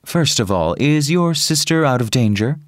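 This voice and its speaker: An actor playing a remorseful young gentleman scoundrel in an audiobook, pleading and confessing earnestly. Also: mid-range voice, expressive, moderate pace, dry recording, studio quality, natural voice. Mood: urgent, pleading. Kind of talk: dramatised audiobook dialogue.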